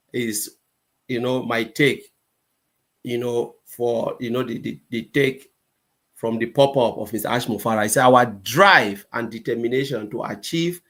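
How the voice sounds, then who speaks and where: A man hosting a gathering and giving closing remarks, halting often and filling pauses with repeated words. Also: medium pitch, a bit bright. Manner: halting, many fillers and restarts. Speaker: host.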